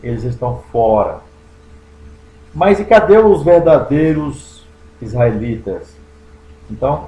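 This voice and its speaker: A Brazilian pastor reading scripture aloud and explaining it verse by verse, stressing key words and stretching some out slowly. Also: male voice, medium pitch, mellow. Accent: Brazilian Portuguese. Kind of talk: Bible study sermon. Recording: echoey room.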